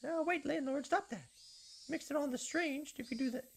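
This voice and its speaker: A man's voice: very high voice, brisk, creaky, a little hiss or hum, voice pushed up. A man voicing a complaining, squeamish woman tenant in a visual novel read-aloud. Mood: whiny, disgusted.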